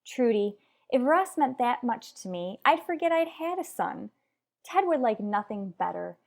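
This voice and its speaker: A woman's voice: fairly high pitch, big pitch swings, measured pace, vocal fry, a little mellow, heavily acted. A woman playing a headstrong married mother in a radio soap, lecturing her rival bluntly and emphatically. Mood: firm, exasperated. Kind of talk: radio soap opera acting.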